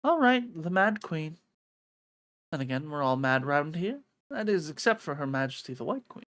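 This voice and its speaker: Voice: medium pitch, big pitch swings, moderate pace, a bit bright. A streamer voicing a sly, grinning cat-girl from a visual novel, teasing, cryptic and leisurely.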